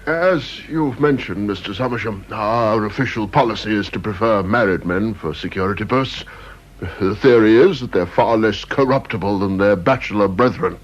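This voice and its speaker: Pedantic, sceptical British official male actor, lowish voice, radio play, muffled old recording. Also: normal speed, lightly acted. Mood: measured, official. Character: probing, incredulous, urbane male security official. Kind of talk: radio drama acting.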